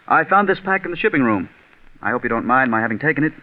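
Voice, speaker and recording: An actor with an American accent playing a coldly scheming, vengeful writer in a 1940s radio mystery, speaking deliberately. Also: male voice, mid-range voice, expressive, lightly acted. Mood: falsely polite. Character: coldly scheming, vengeful male radio scriptwriter turned murderer. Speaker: actor narrating and playing the lead. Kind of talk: 1940s radio mystery acting and first-person narration. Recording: tinny vintage radio recording, music behind.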